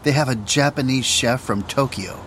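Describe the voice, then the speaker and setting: A man who announces the tracks and reads the lines of a course dialogue in a slow, careful manner. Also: medium pitch, noisy background. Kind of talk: scripted textbook audio reading.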